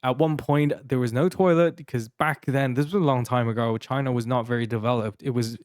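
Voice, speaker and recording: A man's voice: mid-range voice, steady tone, a little fast, a little mellow, no echo, clean studio sound. Taiwanese-accented man telling a rambling story. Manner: rambling, many restarts and fillers, laughing while telling. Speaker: podcast co-host. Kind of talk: casual storytelling.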